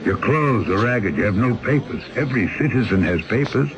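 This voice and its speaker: A man's voice: medium pitch, animated, fairly quick, noisy background, natural voice. A man playing a fatherly older exile. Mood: coaxing. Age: middle-aged. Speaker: older convict and storyteller. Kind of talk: 1970s radio drama acting.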